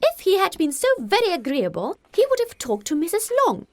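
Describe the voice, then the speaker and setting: A woman voicing a fussy, excitable middle-aged mother in an audiobook, gossipy and indignant, with emphatic exclamations. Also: extremely high pitch, a little fast, dry recording, pitched above the performer's own voice, heavily acted. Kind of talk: audiobook character voice.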